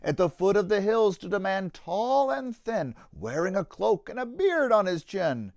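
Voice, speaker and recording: A man, a pastor, reading a rhyming children's story aloud in a dramatic, deliberate, sing-song manner. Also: sits high, very animated, darkish tone, dry recording.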